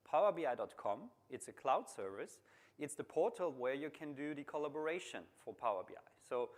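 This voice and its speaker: A middle-aged man with an Austrian German accent presents at a conference, his first language German. His voice sits at an ordinary middle pitch, and he explains in a plain, steady way, repeating phrases and restarting now and then. He speaks on a mic in a large conference room. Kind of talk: technical conference talk.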